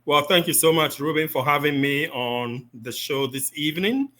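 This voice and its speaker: A pastor from Ghana, a man with a bright, forward-sounding voice. He answers interview questions at an even pace, laying out his story in orderly detail. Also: on the high side, moderate pace. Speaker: pastor, guest.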